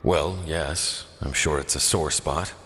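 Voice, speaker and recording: An actor playing an awkward, brooding former lover in a video game. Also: male voice, extremely low pitch, fairly even intonation, slow pace, a bit bright, heavily acted. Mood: uneasy. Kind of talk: video game character acting. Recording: long reverb, music underneath.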